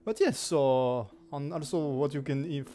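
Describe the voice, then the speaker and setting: A man's voice: mid-range voice, animated, a little slow, dark tone. A man in his twenties with a strong French accent, chatting casually to his gaming channel's viewers, rambling with lots of fillers and self-corrections. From France. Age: 20s. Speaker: gaming YouTuber. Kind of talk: casual anniversary chat to camera.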